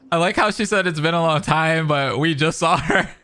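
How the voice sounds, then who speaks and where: A man's voice: on the high side, moderate pace, sharp tone, clean tone. A man giving casual, slangy, exclamatory live reactions as a game streamer, with game music behind him.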